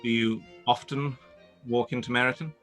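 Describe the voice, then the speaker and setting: An actor playing a proud, reserved, guarded gentleman in a period radio-play reading, speaking in a stiff, formal, restrained way. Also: mid-range voice, fairly even intonation, moderate pace, faint music behind, close to an everyday voice.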